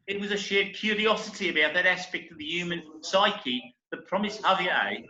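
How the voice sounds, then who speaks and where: High, bright man as an earnest troupe compere. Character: earnest, slightly flustered theatre troupe leader acting as compere. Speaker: amateur actor in a remote group reading. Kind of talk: comic stage-play reading, addressing the audience. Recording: echoey, dull internet call recording.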